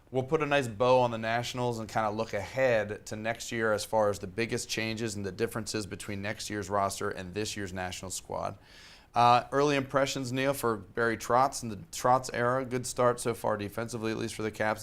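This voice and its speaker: A man with a somewhat low, hoarse voice hosts a sports talk show from a TV studio. He runs through the rundown in a loose, conversational way, dropping in fillers as he goes.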